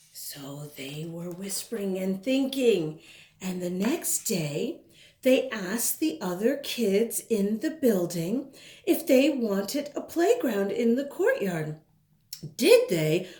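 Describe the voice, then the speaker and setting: A woman reading a picture book aloud to children in an expressive way, with conversational asides. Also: medium pitch. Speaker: storybook reader, host. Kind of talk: animated read-aloud for children. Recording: roomy indoor echo.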